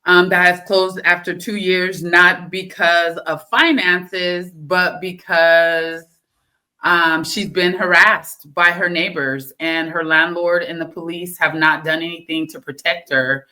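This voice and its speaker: A woman hosting a radio-style podcast, opening upbeat and asking questions in a relaxed, drawn-out way. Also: lowish voice. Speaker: podcast host. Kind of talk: casual interview hosting.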